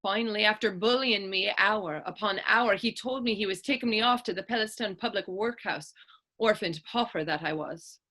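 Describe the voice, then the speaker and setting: A woman's voice: medium pitch, normal speed, sharp tone. A woman playing a tough, proud teenage Irish orphan turned actress in a readers theatre drama, telling her story in lilting old-fashioned idiom with an Irish accent. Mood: indignant storytelling. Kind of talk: stage readers theatre acting in dialect.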